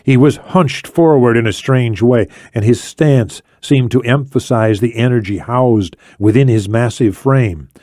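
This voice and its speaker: A man's voice: mid-range voice, measured pace, hardly any pauses, a little mellow, no room sound, studio quality. A man reading a thriller audiobook as dramatic scripted narration.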